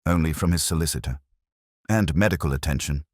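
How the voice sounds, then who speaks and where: A man voicing a crisp, efficient woman, a formal and evasive housekeeper in an audiobook mystery. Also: a bass voice, brisk, no room sound, studio quality. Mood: guarded, uneasy. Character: crisp, efficient, guarded female housekeeper of indeterminate age.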